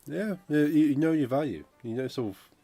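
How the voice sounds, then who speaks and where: A man, croaky from a cold, chatting casually on a game dev stream and reading out chat. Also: on the high side, normal speed, dark tone, no room sound. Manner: rambling, chatty, reads out chat messages. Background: funky music playing.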